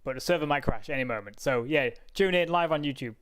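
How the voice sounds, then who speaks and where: A man's voice: fairly high pitch, fast talker, smooth. A man live-streaming a racing sim, chatting casually and talking to himself as he clicks through menus, with frequent laughs.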